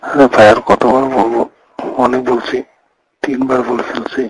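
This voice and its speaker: A man's voice: mid-range voice, sing-song, moderate pace, vocal fry. A young man chatting casually and intimately on the phone. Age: young adult. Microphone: phone call. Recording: noisy phone call with clicks.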